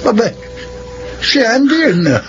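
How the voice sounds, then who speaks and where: A man's voice: very high voice, big pitch swings, a little slow, background noise, heavily acted. An actor playing a kindly old grandfather in a radio drama, with an earnest, folksy, concerned manner. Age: older. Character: kindly old grandfather figure, protective drinking companion. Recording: dull lo-fi radio drama recording.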